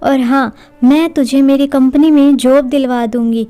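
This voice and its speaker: A woman's voice: high-pitched, steady tone, unhurried, mellow. A woman playing a kindly, insistent young friend in a cartoon, talking in a coaxing way. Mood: friendly, insistent.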